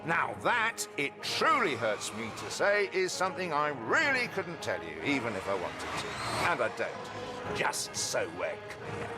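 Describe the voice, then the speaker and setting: Swooping, theatrical smug villain. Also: male voice, a little high, wildly swooping pitch, unhurried, music in the background. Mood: smug taunting. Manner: theatrical mock-regretful taunting. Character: captive villain, smug, mocking, feigning sorrow, unafraid. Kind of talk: scripted video game dialogue.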